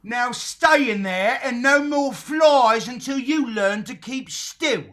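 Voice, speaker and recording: An actor in a radio play performing as an emphatic, declamatory narrator and keeper. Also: male voice, very high-pitched, slow, a bit bright, heavily acted. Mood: gruff.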